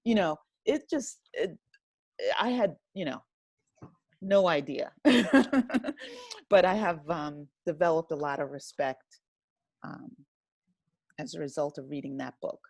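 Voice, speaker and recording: A woman with a lowish voice speaks in a relaxed conversational interview. She explains in a thoughtful, halting way, working through her points piece by piece. The sound is dry and close, with very little room in it. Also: no room sound. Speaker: podcast guest, writer and director.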